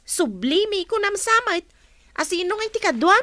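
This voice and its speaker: Actress as a shrill, nosy village woman. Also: sing-song, normal speed, bright, forceful tone, no echo, exaggerated voice. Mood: surprised, inquisitive. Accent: Ilocano. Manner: inquisitive. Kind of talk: Ilocano radio drama acting.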